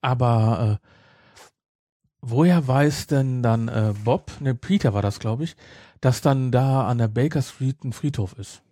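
A man's voice: medium pitch, unhurried, warm tone, no room sound, studio quality. A man hosting a podcast, chatting casually about an audio drama in a teasing, nitpicking way. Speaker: podcast host.

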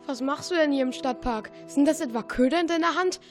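A woman's voice: high-pitched, fairly quick, soft background music, close to an everyday voice. A young female performer playing a nervous, timid child in a children's radio play. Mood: nervous, suspicious.

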